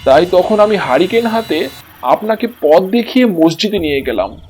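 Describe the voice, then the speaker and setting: Cartoon devil disguised as a young man male actor: high-pitched, explanatory, outwardly helpful, faint music behind. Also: very animated, moderate pace, a little mellow, breathy edge, full character voice. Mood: confessing, explanatory. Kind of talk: cartoon story dialogue.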